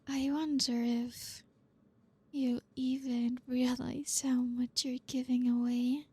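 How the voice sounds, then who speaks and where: A woman playing a flirty, dominant boss in an ASMR roleplay, teasing and commanding in a soft, deliberate, often whispered voice. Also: sits high, husky, exaggerated voice.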